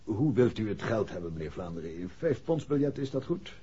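An actor playing an affable, obliging bank manager in a 1960s radio drama, with a Dutch accent. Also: male voice, lowish voice, big pitch swings, brisk, dark tone, breathy edge. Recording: muffled 1960s radio recording, faint noise.

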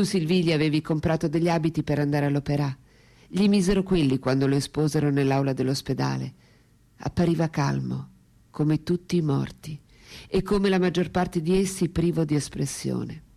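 An actress playing a philosopher's lifelong companion, a woman writer in a radio drama, speaking quietly with composed, deliberate gravity and tenderness. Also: low voice, creaky. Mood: restrained grief. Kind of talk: radio drama acting and intimate narration.

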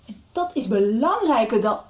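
Anxious teenage daughter, high swooping voice, irritable, radio soap, echoey room. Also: female voice, very animated, moderate pace. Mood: flustered. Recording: dull, roomy, distant 1990s radio recording.

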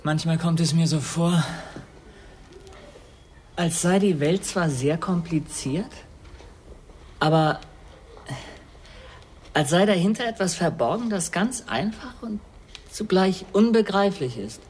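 A young actor in a radio play playing an earnest, searching youth, pensive, halting and intense. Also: male voice, fairly high pitch, animated, slight background noise.

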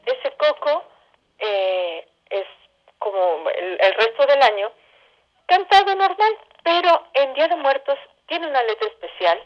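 A woman with a central Mexican accent explaining things expressively as an interview guest, over a dull phone connection. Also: medium pitch. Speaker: interview guest, theatre company director.

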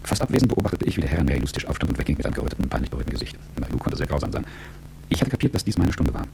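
A deep-voiced, gravelly executive narrator. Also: male voice, big pitch swings, rapid-fire, heavy background noise, full character voice. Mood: reflective, sober. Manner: reflective, articulate storytelling. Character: cool, calculating, world-weary male business executive looking back. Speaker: narrator and lead character. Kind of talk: radio drama first-person narration.

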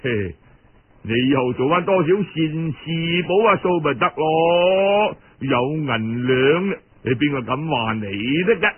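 A man voicing a pompous, greedy older Cantonese uncle in a storytelling performance, full of exclamations and drawn-out interjections. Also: a little high, animated, slightly rough, narrow, tinny audio. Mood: ingratiating, scheming. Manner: exclamatory, moralizing then wheedling, drawn-out interjections.

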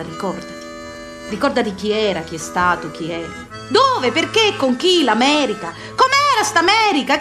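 A woman's voice: fairly high pitch, bright, forceful tone, exaggerated voice. A woman playing a stubborn old southern Italian mother in a radio play, telling memories with emphatic repetition and dialect. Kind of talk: live radio theatre acting. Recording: live music behind the voice.

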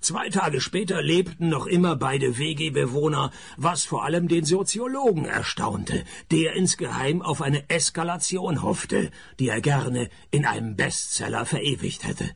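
Casting: sardonic radio detective, gravelly, animated pitch swings, deadpan wit. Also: male voice, unhurried, exaggerated voice. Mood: wry. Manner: deadpan, sarcastic. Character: wry, world-weary male private detective. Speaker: lead actor and narrator. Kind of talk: radio detective comedy acting and narration.

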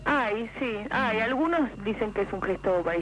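A woman's voice: on the high side, expressive, moderate pace, a bit bright, slight background noise. Chatty Argentine woman reporting by telephone. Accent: Buenos Aires Argentine. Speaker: radio correspondent. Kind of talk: casual live phone report. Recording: live telephone call to radio.

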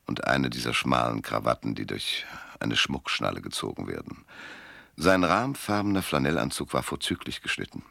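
An actor playing a wry, laconic private detective who narrates and talks in a German noir radio drama. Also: male voice, a bass voice, creaky, bright, forceful tone, full character voice. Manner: laconic, sardonic. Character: hard-boiled, wry male private detective and first-person narrator.

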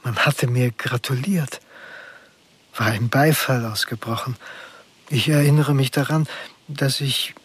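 An actor playing a subdued middle-aged father in a radio drama, recalling his son in halting, reflective, measured phrases full of remorse. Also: mid-range voice, fairly bright tone, husky. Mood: subdued, remorseful. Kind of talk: radio drama monologue, introspective narration.

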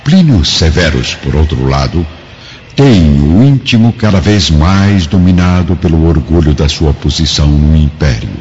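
A man with a Brazilian accent narrating a radio drama in a solemn, literary, measured style. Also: extremely low pitch, lively intonation, darkish tone, slight background noise. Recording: dull lo-fi recording over music. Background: music.

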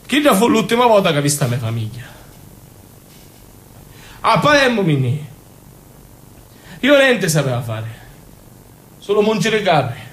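A man's voice: high voice, fairly bright tone. A man acting a naive, passionate young Sicilian man in an intense, confessional stage monologue with a Sicilian accent. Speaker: stage actor. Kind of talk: theatrical monologue in dialect.

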